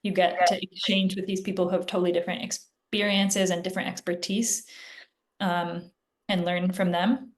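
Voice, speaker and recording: A woman's voice: fairly low pitch, level tone, normal speed. Chatty woman doctor on a video call. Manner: chatty, filler-heavy, frequent "like" and "um". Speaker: guest physician. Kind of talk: casual interview answers.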